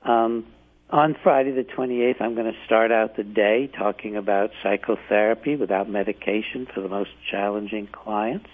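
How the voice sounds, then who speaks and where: An older male radio host, hoarse from the flu, talks in a low voice that is husky and a little strained. He rambles, stops and restarts. His voice comes through a tinny, phone-like line. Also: steady tone, normal speed. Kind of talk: casual talk radio monologue. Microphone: home setup, not his usual studio mic.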